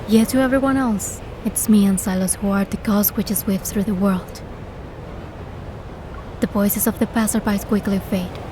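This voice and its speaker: A woman voicing a bold, curious young heroine, with expressive storytelling delivery in a fantasy game. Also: medium pitch, normal speed, noisy background, close to an everyday voice. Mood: narrating, hushed wonder. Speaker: fan dub voice actor and narrator. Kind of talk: scripted first-person fantasy game narration and dialogue.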